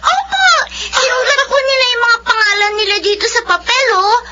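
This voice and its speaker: A very high-voiced, eager schoolgirl in a radio drama. The voice is bright and piercing, on a slightly dull broadcast recording with faint noise. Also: normal speed, full character voice. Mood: excited, eager. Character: excited schoolgirl daughter bringing good news.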